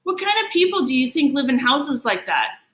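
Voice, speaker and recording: A woman voicing a blunt, excitable schoolboy in a storybook, energetic and bossy with classmates. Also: high voice, moderate pace, reverberant, voice pushed up. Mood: excited.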